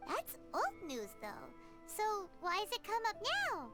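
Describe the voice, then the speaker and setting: Chirpy childlike fairy sidekick, very high pitch, bubbly, anime game, loud music behind. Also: female voice, wildly swooping pitch, measured pace, exaggerated voice. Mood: curious. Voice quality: squeaky, childlike. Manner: bubbly, refers to self in third person. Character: tiny childlike female fairy companion, excitable and chirpy. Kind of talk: anime video game voice acting.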